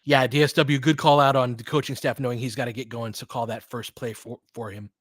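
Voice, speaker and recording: Male basketball commentator, mid pitch, dry close sound, casual host style with clipped play-by-play. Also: steady tone, brisk, dry recording. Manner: clipped, fragmentary play calls. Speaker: host doing fan play-by-play of a basketball game. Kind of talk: live sports commentary mixed with casual chat.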